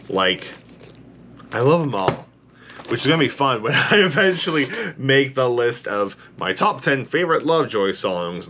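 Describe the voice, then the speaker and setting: A man with a low bass voice giving an enthusiastic, rambling reaction to a song, full of "like" fillers, tired late at night. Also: fairly bright tone, slight background noise. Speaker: music reaction YouTuber. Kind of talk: casual reaction commentary.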